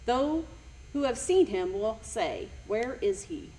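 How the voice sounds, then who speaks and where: Distant high-voiced woman as stern offended friend. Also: animated, takes it slowly, dark tone. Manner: declamatory recitation. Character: Zophar, offended, stern friend warning the wicked. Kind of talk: amateur church Bible drama. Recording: distant from microphone, faint noise.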